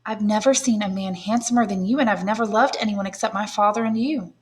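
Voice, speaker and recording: A woman reading aloud, voicing a devoted young woman in a soft, pleading tone. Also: mid-range voice, fast, pitched above the performer's own voice, close to an everyday voice.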